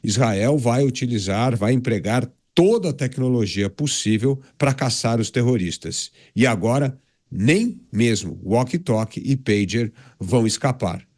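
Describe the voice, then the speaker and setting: Animated, assertive Brazilian male commentator. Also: medium pitch, measured pace. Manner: assertive, categorical. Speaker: political commentator. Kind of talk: opinion commentary on a news debate show.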